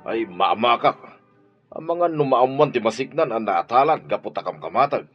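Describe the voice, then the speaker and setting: A man's voice: mid-range voice, lively intonation, normal speed, faint music behind, natural voice. A man playing a fearful, reluctant accomplice in an Ilocano radio drama. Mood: afraid. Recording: dull radio drama recording.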